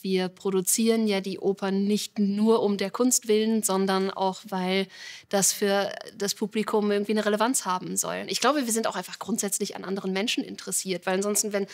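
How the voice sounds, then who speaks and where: A woman's voice: mid-range voice, flat delivery, a little fast, a little mellow. A woman leading an opera outreach project, explaining her work thoughtfully with some restarts. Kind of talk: casual explanation.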